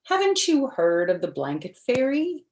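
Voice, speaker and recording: Meddling storybook neighbour woman, read by a female narrator: disapproving, emphatic, animated pitch, roomy. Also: measured pace, full character voice. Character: nosy, disapproving woman neighbour giving advice.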